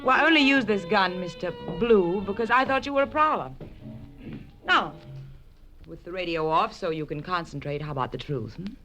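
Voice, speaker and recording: Bright-voiced, coy femme fatale. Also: female voice, on the low side, animated, moderate pace, soft background music. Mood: coy, threatening. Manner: coy, self-possessed, teasing. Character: sultry, cool, gun-toting femme fatale. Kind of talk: 1940s radio drama acting.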